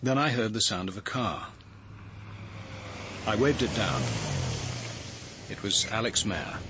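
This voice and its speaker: An actor playing a grave, reflective detective, narrating in a measured, literary way in a radio drama. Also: male voice, lowish voice, animated, background noise. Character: grave, reflective male police detective recounting his evening.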